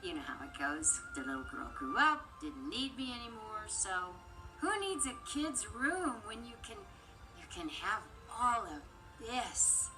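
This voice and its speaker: A woman playing a bold, confident shepherdess toy in an animated story, brisk and commanding. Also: on the high side, over music, rough recording. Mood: wry, breezy. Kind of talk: animated film read-along dialogue.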